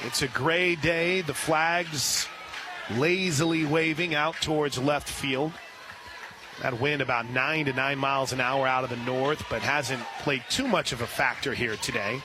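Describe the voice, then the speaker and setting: A man calling live softball play-by-play as a radio announcer, reading sponsor lines between pitches. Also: steady tone, unhurried, a crowd in the background, noisy background. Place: outdoor ballpark during a live game. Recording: loud outdoor stadium ambience. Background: loud stadium ambience.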